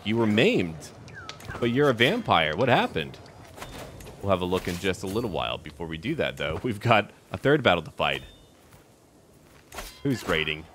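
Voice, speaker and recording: Deep-voiced man commentating a game, animated intonation. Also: a little hiss or hum. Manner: theatrical, short declarative remarks, talking to characters. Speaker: gaming video host. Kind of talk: first-person roleplay commentary on a strategy game.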